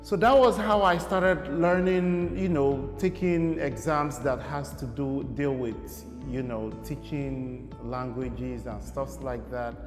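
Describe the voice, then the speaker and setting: A man's voice: mid-range voice, slow pace, hardly any pauses, music in the background. A young Nigerian man, a guest in an interview, talking casually and rambling with many fillers. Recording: echoey room with long reverb.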